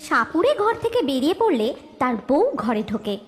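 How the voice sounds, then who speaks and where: A woman's voice: high voice, big pitch swings, normal speed, faint music behind. A woman giving a scripted narration of a fairy tale cartoon as its storyteller.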